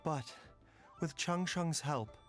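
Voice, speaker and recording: Game doctor: fairly high male voice, composed, formal, gently weary, music underneath. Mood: weary composure. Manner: measured, formal, explanatory. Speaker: game character voice actor. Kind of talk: dramatic English game voice acting. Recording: game dialogue over music. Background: background music.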